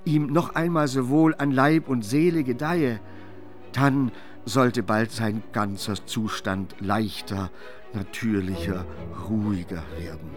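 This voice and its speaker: Low-voiced male literary narrator. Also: slow pace, faint music behind. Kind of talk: scripted literary narration for radio play.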